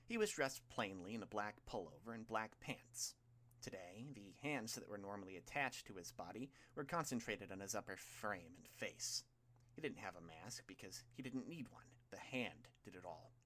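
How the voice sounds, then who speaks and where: A man with a fairly low voice reads fiction aloud. He narrates scripted prose in the role of an audiobook reader. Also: animated, moderate pace, a bit bright.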